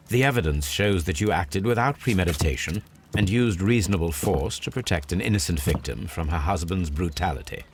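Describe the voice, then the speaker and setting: Composed Victorian detective, low-pitched, British accent, formal and measured, video game drama, noticeable background noise. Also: male voice. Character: composed, authoritative male Victorian detective, coolly deductive.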